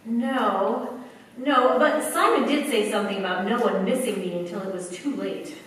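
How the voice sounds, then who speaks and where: A woman's voice: mid-range voice, moderate pace, natural voice. A woman playing an earnest, flustered young American factory worker in a staged 1940s-style radio play, hesitant and wryly candid. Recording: echoey hall, distant stage mic, faint noise.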